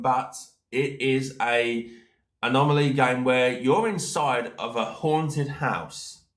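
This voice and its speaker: A man's voice: mid-range voice, fairly bright tone, reverberant. A man hosting a solo gaming podcast, sounding nasal from hay fever, rambling with lots of fillers and repeated "of course". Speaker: gaming channel host. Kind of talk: casual solo podcast talk.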